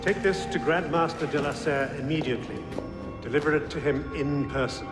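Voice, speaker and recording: Urgent, commanding master, highish voice, a man acting. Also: fairly even intonation, brisk, natural voice. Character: urgent, commanding male master of a secret order. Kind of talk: video game cutscene acting. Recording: roomy echo under music.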